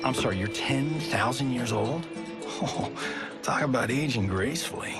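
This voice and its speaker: A man's voice: medium pitch, lively intonation, moderate pace, close to an everyday voice. A man playing a cocky wisecracker who speaks sarcastically. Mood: joking. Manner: sarcastic, joking. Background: guitar music.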